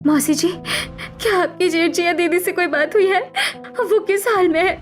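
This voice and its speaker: A woman's voice: extremely high pitch, unhurried, faint music behind. A woman voicing a determined, anxious young daughter-in-law in a cartoon story, earnest and emphatic. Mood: urgent. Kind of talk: dramatic Hindi cartoon story acting.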